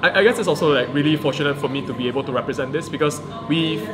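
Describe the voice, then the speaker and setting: A young man, a native Chinese speaker, talking quickly and chattily about his debate path. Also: fairly high pitch, over music. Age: young adult. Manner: quick, chatty, laughs easily. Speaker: debater, guest.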